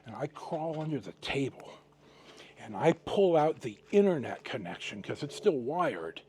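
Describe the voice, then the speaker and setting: Middle-aged man with animated, swinging pitch. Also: a little hiss or hum. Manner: rambling, halting, with dramatic pauses and emphasis. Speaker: host of a personal storytelling vlog. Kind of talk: casual, unscripted anecdotal storytelling to viewers.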